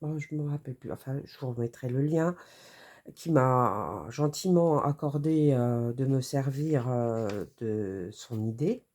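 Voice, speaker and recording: A woman's voice: very deep voice, measured pace, dark tone. A French-accented woman with a cold, hosting a casual craft tutorial and rambling with many hesitations and self-corrections. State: has a cold.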